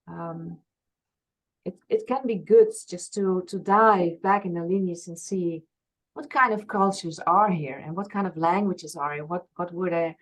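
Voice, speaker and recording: A woman's voice: on the low side, low-quality recording. A woman from Friesland with a Dutch accent, a past life regression therapist talking earnestly and openly as an interview guest. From Friesland, Netherlands. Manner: earnest, open, laughs at herself. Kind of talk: reflective conversational interview.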